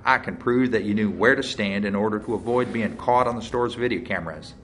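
Man acting as smug small-town deputy, folksy, forceful interrogator, audiobook character, faint background noise. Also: on the low side, a little fast, lightly acted. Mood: accusing, smug.

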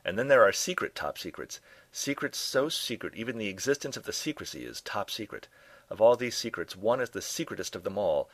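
A man voicing a gruff, suspicious and impatient government agent in a terse manner for a comic spy story. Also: lowish voice, very animated, brisk, fairly bright tone, no echo. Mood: secretive, intense.

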